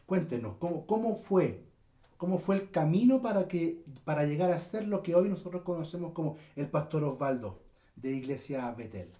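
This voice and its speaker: A man's voice: fairly high pitch, expressive, normal speed, mellow. A man hosting a church interview, speaking with many fillers and restarts. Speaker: interview host. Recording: echoey room.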